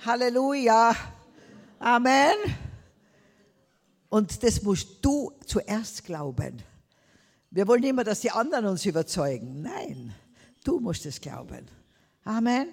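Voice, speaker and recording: A woman's voice: fairly high pitch, big pitch swings, slow pace, gravelly, long pauses, darkish tone, big-room sound. An older woman from Tyrol with a Tyrolean accent, preaching a sermon emphatically, drawing out words and calling out Amen and Hallelujah. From Tyrol, Austria.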